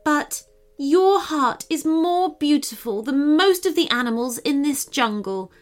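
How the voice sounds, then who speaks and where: A woman's voice: high-pitched, lively intonation, unhurried, soft background music, pitched above the performer's own voice. A woman voicing a beautiful, vain female swan in a storybook, with dramatic, emotive delivery. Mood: tender. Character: vain beautiful female swan, haughty yet later tender.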